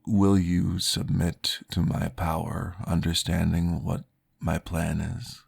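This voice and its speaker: Deep, gravelly, slow-spoken vampire therapist, formal and reassuring, in an ASMR roleplay, voiced by a man. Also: little pitch movement, a little mellow, full character voice.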